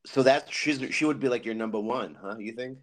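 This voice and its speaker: A man hosting a casual interview, talking eagerly with many restarts and fillers. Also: mid-range voice. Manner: enthusiastic, halting with many restarts. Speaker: podcast host.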